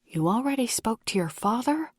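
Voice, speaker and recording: A woman voicing an anxious, sarcastic mother of a grown daughter in audiobook dialogue, emphatic and sharp in manner. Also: medium pitch, moderate pace, no room sound, clean studio sound, close to an everyday voice. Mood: wary.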